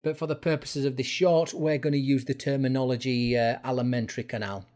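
A man's voice: medium pitch, steady tone, fairly quick, a little mellow. A man narrating an educational explainer as an instructor, going through it step by step in informal words. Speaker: fitness instructor presenting a course.

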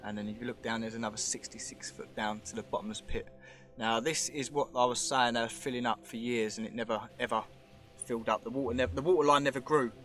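A man's voice: mid-range voice, fairly even intonation, fast, fairly bright tone. Chatty man filming in a cave. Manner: chatty, rambling, speculative, points things out. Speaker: vlogger exploring a show cave. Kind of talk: casual handheld commentary. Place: underground cave tunnel.

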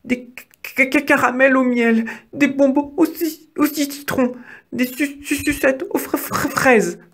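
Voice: high voice, fairly even intonation, unhurried, fairly bright tone, pitched above the performer's own voice. A storyteller voicing a timid young female mouse, polite and pleading, who stammers when frightened. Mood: terrified stammering.

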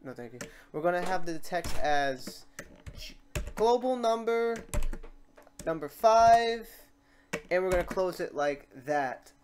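A man hosting a casual software tutorial, informal and halting as he thinks aloud. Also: high voice, lively intonation, a little hiss or hum. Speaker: tutorial host. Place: indoors at a computer, keyboard typing behind.

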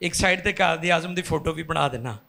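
Preacher guy on a church stage, handheld mic, high gravelly voice, gets loud and fired up. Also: normal speed. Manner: impassioned preaching, rising to loud climaxes. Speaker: pastor. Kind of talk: sermon. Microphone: handheld microphone.

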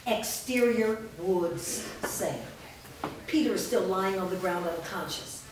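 A woman's voice: on the low side, takes it slowly. A woman reading stage directions as narrator at a staged play reading. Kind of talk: scripted narration. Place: indoor performance room, seated before an audience. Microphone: far camera. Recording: distant mic, very echoey noisy room.

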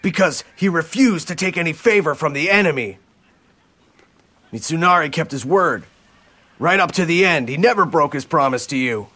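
An actor playing a blunt, brash samurai warrior with a challenging manner in a video game. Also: male voice, a little high, expressive, moderate pace, sharp tone. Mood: stern. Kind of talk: dramatic video game acting.

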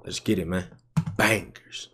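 A man's voice: low voice, steady tone, measured pace, bright, forceful tone, a little hiss or hum. A man in his twenties with an American accent, giving casual, slangy gaming commentary as a YouTube host. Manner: slangy, repetitive hype. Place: indoor gaming room. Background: music and game audio.

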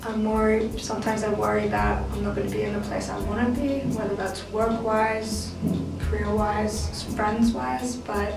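A woman's voice: mid-range voice, level tone, normal speed, creaky, heavy reverb, recorded from a distance, over music, poor audio. A teenage girl in a casual interview, talking reflectively and evenly. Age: teens.

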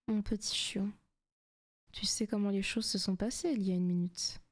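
Soft, teasing demon girlfriend acted by a woman. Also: mid-range voice, steady tone, normal speed, lightly acted. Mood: taunting, possessive. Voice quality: soft, often breaking into whispers. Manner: teasing, coaxing, possessive. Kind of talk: intimate ASMR roleplay.

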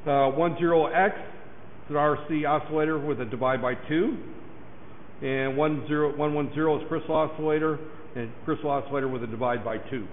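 A hoarse man giving a classroom lecture in a middling voice, wandering through his points and tacking "right there" onto his sentences. The sound is thin and tinny, with a long echo from the hall and a faint hiss underneath. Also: fairly high pitch, fairly even intonation, fairly quick. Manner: rambling, repeats "right there" and "that there". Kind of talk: recorded classroom lecture over slides.

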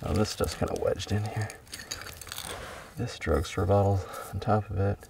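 Man, deep and gravelly, calm matter-of-fact dig narration; distant mic, faint noise. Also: moderate pace. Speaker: bottle digger. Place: outdoors at an excavation pit.

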